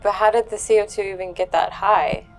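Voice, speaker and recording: A woman's voice: mid-range voice, steady tone, a little fast, soft background music, low-quality recording. A woman talking informally as a player in a tabletop roleplaying game. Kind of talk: casual, informal table talk.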